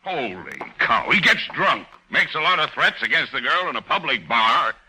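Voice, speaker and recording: An actor playing a tired, exasperated middle-aged police lieutenant in an old radio drama. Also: male voice, mid-range voice, big pitch swings, moderate pace, sharp tone, slightly rough, heavily acted, rough recording. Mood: weary. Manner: weary, exasperated.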